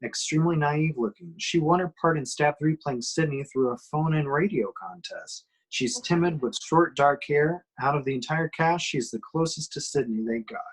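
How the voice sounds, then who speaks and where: A man's voice: fairly high pitch, normal speed, hardly any pauses, breathy edge, low-quality recording. A man reading scene descriptions as the narrator of an amateur script table read. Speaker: reader of stage directions.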